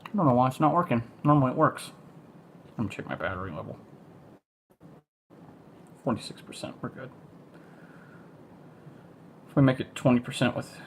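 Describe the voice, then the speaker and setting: A man's voice: medium pitch, big pitch swings, normal speed, pauses often, a little hiss or hum. A man hosting an amateur livestream in a casual, informal way, thinking aloud as he goes. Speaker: amateur storm-watch livestream host. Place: a room at home.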